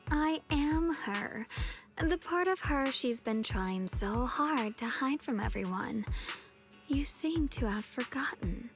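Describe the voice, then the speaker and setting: A woman's voice: sits high, lively intonation, takes it slowly, soft background music, heavily acted. A woman voicing the bold, menacing alter ego of a swordswoman in a video game, assured and taunting. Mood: teasing menace. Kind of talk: dramatic video game voice acting.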